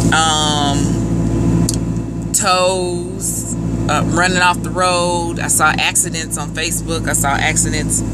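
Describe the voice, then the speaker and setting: A middle-aged woman truck driver talking casually and haltingly to a vlog camera inside a truck cab. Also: sits low. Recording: loud steady vehicle and fan noise.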